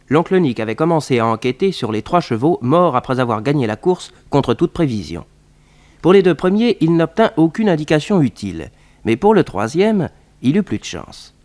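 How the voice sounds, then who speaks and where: Animated male radio serial narrator. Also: medium pitch. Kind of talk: scripted dramatic narration, 1960s French radio serial.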